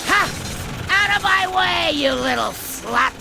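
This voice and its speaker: An actress playing a crazed, greedy woman gambler in an audio drama, shouting and gloating in drawn-out, abusive bursts. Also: very high voice, expressive, creaky, over music, heavily acted.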